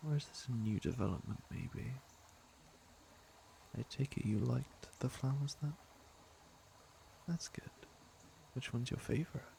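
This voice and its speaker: A man's voice: mid-range voice, unhurried, pauses often, dark tone, a little hiss or hum, rough recording. A man playing a teasing, flirtatious fantasy villain with a caring side, in an intimate ASMR roleplay. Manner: smooth-talking, teasing, intimate. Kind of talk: ASMR roleplay acting, intimate second-person address.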